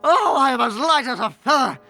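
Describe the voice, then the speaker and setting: A man's voice: very high-pitched, expressive, brisk, bright, forceful tone, soft background music, full character voice. A man playing a repentant old miser in a radio play, theatrical and stammering with emotion. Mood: dazed, relieved. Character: repentant old male miser, desperate then giddy.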